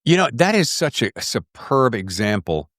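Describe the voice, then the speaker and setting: A man hosts a podcast in loose, casual conversation, his pitch swinging widely as he rambles along. He is from the US South and leans on folksy slang. The sound is close and dry, with very little room to it. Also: sing-song, moderate pace, fairly bright tone, no echo. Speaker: podcast host. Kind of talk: casual interview chat.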